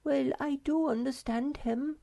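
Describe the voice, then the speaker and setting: Storybook role: tiny girlish creature, very high, slow delivery, timid and sweet. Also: fairly even intonation, creaky, warm tone. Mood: sweet, coaxing. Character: small, dainty, timid young female creature, sweet and excitable.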